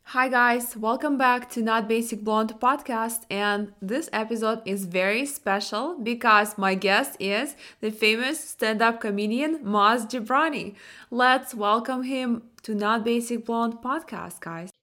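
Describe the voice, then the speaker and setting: A woman's voice: fairly high pitch, measured pace, bright, forceful tone. A woman from Ukraine, a native Russian speaker, hosting a podcast with a giggly, enthusiastic manner. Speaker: podcast host. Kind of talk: casual interview chat.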